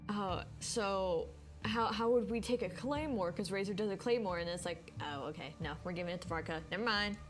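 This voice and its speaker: A woman's voice: medium pitch, fairly quick. A woman streaming a video game, commenting casually and informally as she thinks aloud. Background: game music.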